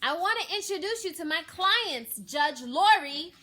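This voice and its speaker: A woman voicing a hearty chef father in a children's storybook, calling out loudly. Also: very high voice, animated, measured pace, pitched above the performer's own voice, exaggerated voice.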